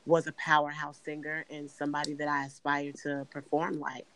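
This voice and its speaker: A middle-aged woman from Chicago with a raspy voice, a singer answering interview questions in an earnest, measured way. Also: deep voice, level tone, a bit bright, a little hiss or hum, poor audio. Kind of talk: podcast interview.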